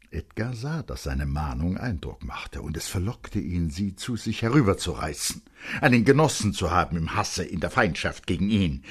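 A man reads literary narration in a fairly low, husky voice. His intonation moves widely, giving the scripted prose a dramatic, expressive shape.

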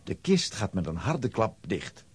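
A man narrating a children's radio play in a dramatic, expressive storytelling style. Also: fairly low pitch, measured pace, husky, dry recording.

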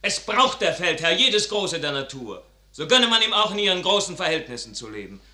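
Fervent young soldier, sweeping intonation, forceful ringing tone, declamatory classical verse, radio play. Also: male voice, high-pitched, very animated, fairly quick, exaggerated voice. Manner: declamatory, impassioned, swelling. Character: ardent idealistic young soldier, son at odds with his father. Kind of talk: classical German stage verse radio acting.

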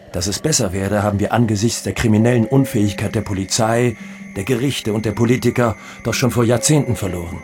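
A man's voice: on the low side, noisy background, close to an everyday voice. An actor playing a bitter, disillusioned man in a radio play, arguing in accusing rhetorical questions. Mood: bitter, resigned. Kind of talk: dramatic monologue in a radio play. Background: guitar music.

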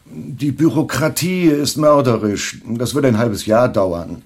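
An actor in a German radio play, playing a fat, shady, wheedling Hollywood film agent who cajoles and hedges. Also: male voice, mid-range voice, slow pace. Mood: cajoling.

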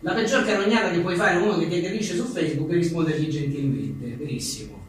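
Echoey, higher-voiced man, irreverent. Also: fast talker. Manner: colloquial, irreverent, with swearing. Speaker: author presenting his book. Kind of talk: reading short jokes and chatting informally at a live book presentation. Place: live event venue with an audience. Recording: echoey room, distant mic, faint noise.

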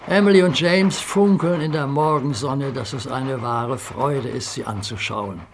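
Measured male storyteller, slow and steady, noisy track. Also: mid-range voice, vocal fry. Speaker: narrator. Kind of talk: scripted children's story narration. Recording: noisy soundtrack recording.

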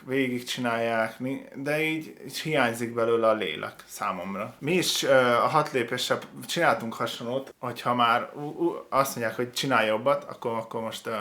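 A man hosting a reaction show, giving casual, opinionated commentary in a halting way, often correcting himself. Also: medium pitch, a bit bright. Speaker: host of a video reaction show.

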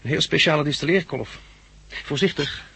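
A man's voice: fairly high pitch, moderate pace, creaky, bright, forceful tone, slight background noise. An actor playing a practical, easygoing male survivor in a 1960s radio drama.

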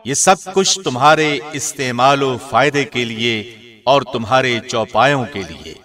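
A man reading a scripture translation as a scripted narration, in a measured, formal way. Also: medium pitch, animated, a bit bright. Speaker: translation narrator, radio host.

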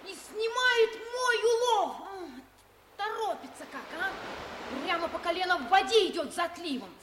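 A woman's voice: very high-pitched, moderate pace, noisy background. A woman voicing a brave, determined young boy who leads his friend, in a 1950s radio play. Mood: eager. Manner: eager, commanding, lively.

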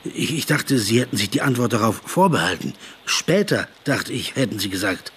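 A man's voice: mid-range voice, animated, moderate pace, a bit bright, a little hiss or hum, natural voice. An actor playing a meek, flustered writer in a radio play, hesitant and stammering as he tries to placate. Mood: apologetic, flustered. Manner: apologetic, hesitant, stammers and repeats words. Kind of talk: German radio play dialogue.

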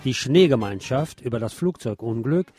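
A man's voice: medium pitch, lively intonation, normal speed, warm tone, faint music behind. A man presenting a scripted radio news report, adding the odd personal aside.

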